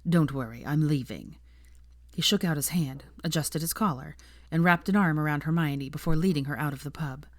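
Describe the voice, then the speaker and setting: A woman reading scripted fiction as an audiobook narrator, with expressive, dramatic storytelling. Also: deep voice, a little fast, dry recording, clean studio sound.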